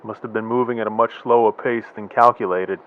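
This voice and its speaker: A man playing a calm, measured scientist. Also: on the low side, lightly acted. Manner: calm, considered explaining. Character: measured male scientist on the scene. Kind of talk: amateur radio drama acting. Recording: dull lo-fi sound.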